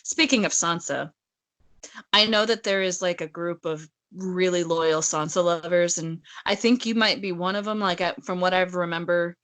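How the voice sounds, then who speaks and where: A woman's voice: on the low side, moderate pace, a bit bright. A woman co-hosting a casual discussion in an informal, conversational way, often saying "like". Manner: informal, peppers speech with "like". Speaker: podcast co-host. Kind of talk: casual chat.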